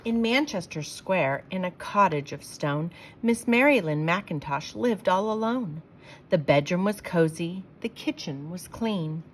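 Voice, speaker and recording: A woman reading rhyming verse aloud for children in an expressive, theatrical storytelling way, leaning on the rhymes. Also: mid-range voice, a little hiss or hum.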